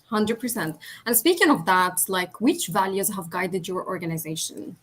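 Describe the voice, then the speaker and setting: Young woman moderating, quick encouraging replies. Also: mid-range voice, expressive, fairly bright tone, a little hiss or hum. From Egypt. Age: young adult. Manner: brief encouraging backchannels. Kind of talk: live online interview.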